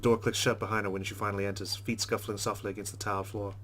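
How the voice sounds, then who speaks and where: Fast-talking low-voiced man reading game narration. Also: little pitch movement, a bit bright, slight background noise. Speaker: let's play host. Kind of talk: reading game narration aloud with casual asides.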